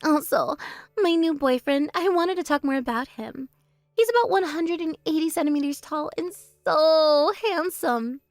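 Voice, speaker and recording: A woman's voice: high-pitched, moderate pace, no room sound, studio quality, soft background music. A woman voicing a bratty, boastful younger sister who mocks and brags in a voice drama. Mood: smug. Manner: mocking, spiteful bragging. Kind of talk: voice drama, one performer.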